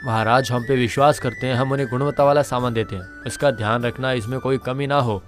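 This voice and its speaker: A man playing a kindly, conscientious middle-aged village merchant in a moral-story cartoon, earnest and measured. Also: mid-range voice, fairly even intonation, over music, close to an everyday voice. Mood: concerned, instructing.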